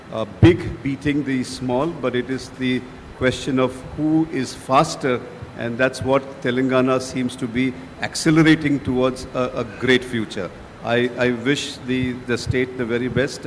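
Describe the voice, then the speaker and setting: A man with an Indian English accent giving flowery, halting praise as a panelist. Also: mid-range voice. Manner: flowery, hesitant with fillers. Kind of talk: unscripted panel remarks. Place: large conference hall. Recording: echoey hall, faint noise.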